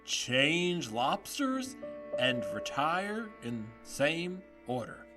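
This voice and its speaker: Actor doing a bossy mythical beast for audio drama, big pitch swings, emphatic n slow. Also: sing-song, over music. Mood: matter-of-fact. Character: brisk, bossy Gryphon, excitable mythical beast.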